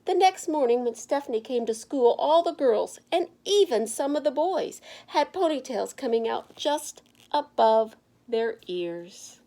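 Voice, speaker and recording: A woman reading a picture book aloud to children in an expressive, emphatic narrating style. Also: high-pitched, measured pace. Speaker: children's storybook reader.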